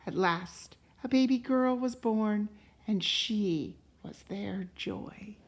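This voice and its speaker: A woman reading a storybook aloud to a class as a teacher, in a deliberate, expressive way. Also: medium pitch, noisy background. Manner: deliberate and expressive, pausing to question listeners.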